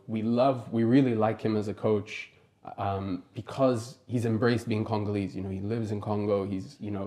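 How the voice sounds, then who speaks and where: A man's voice: on the low side, mellow. A man from Kenya hosting a podcast, asking long rambling questions full of fillers, recorded on a lapel mic in a small wood-panelled room. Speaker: podcast host. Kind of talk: casual interview.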